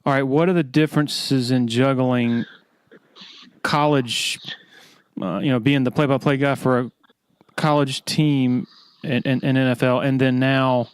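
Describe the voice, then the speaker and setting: A man's voice: mid-range voice, fairly even intonation, a little slow, clean tone, dry recording. A man hosting a podcast, speaking casually and informally. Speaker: podcast host, interviewer. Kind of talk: casual podcast talk and interviewing.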